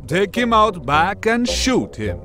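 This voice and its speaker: A man's voice: sits high, very animated, takes it slowly, full character voice. An actor playing a haughty, cruel old king in a comic drama, scornful and theatrical. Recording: voice under loud music.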